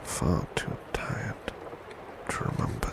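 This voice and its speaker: A man playing a gentle, devoted servant in a whispered ASMR roleplay, soothing a beloved to sleep with tender, softly repeated phrases. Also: slow pace, noisy background, close to an everyday voice. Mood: tender, loving. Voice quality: breathy whisper.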